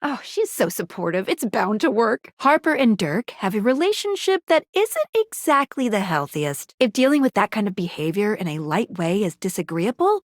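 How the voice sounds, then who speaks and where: A woman's voice: medium pitch, sing-song, normal speed, hardly any pauses, no room sound, studio quality. A woman voicing a perky, quirky pair of talking magic glasses, a bubbly, self-deprecating guide in a video game, with cutesy, jokey delivery. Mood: chatty, advising. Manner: bubbly, cutesy, jokey with playful baby-talk and asides. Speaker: game guide and tutorial character. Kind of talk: animated video game character voice acting.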